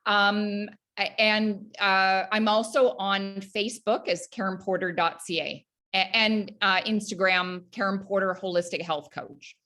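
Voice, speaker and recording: A woman's voice: mid-range voice, steady tone, unhurried, bright, forceful tone. A woman from Canada, a health coach guest in an interview, talking earnestly with many fillers and restarts. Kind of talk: casual interview talk.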